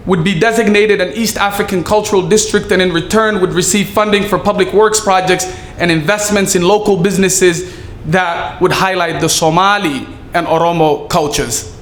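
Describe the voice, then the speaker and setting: A man giving a prepared speech at a community event, delivered earnestly and measured, with some halting fillers. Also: high-pitched, fairly bright tone, a little hiss or hum. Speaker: city staffer giving a speech. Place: event hall. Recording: echoey room with faint ambience.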